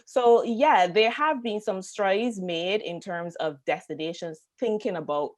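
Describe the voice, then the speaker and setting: A woman's voice: medium pitch, a little slow, a little hiss or hum. A woman from Barbados with a Barbadian accent, speaking as a panelist on a video call in an earnest, conversational way with many fillers. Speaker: panelist, travel marketing agency founder. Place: home, UK.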